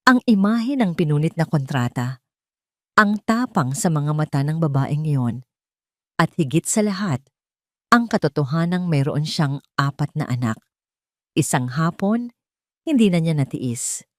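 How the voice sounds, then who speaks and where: Filipina woman narrating a dramatic story, lowish voice that swings up and down a lot, very dry sound. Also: lively intonation, a little slow, pauses often, dry recording. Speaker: narrator of audio drama stories.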